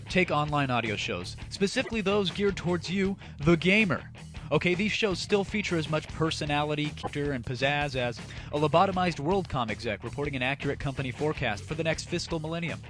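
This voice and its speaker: A man hosting an online gaming comedy show, delivering a scripted, crude and sarcastic rant in a theatrical, mock-grandiose way. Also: on the high side. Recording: music under the voice. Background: music.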